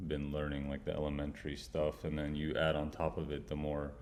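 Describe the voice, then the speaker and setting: A young man hosting an interview, asking questions in a halting way with many fillers. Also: a bass voice, completely flat delivery, a little mellow, a little hiss or hum. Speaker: medical student host. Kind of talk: interview host.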